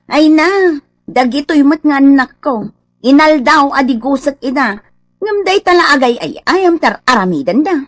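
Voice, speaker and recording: A put-upon, jealous wife with a high, very lively voice, recorded dry. She complains dramatically in an Ilocano accent, as in a comedy radio drama with music underneath. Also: normal speed, no echo. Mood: resigned.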